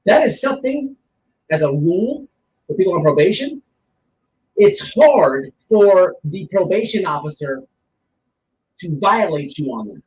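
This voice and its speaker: Guy with a high swoopy voice ranting casually, blunt and emphatic, echoey room mic. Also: very animated, a little slow, pauses often, darkish tone. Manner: blunt, emphatic, explains with repeated phrases and examples. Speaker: online streamer giving commentary. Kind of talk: casual opinionated commentary. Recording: roomy, distant mic with faint noise.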